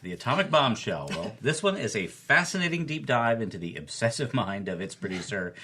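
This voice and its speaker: A husky-voiced man giving enthusiastic film commentary as a lecturer and host. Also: fairly low pitch, big pitch swings, brisk, bright, forceful tone.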